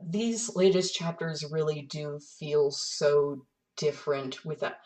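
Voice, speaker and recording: A woman's voice: low voice, slow pace, darkish tone. A woman co-hosting a podcast in a conversational way, recorded some distance from the microphone. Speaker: podcast co-host.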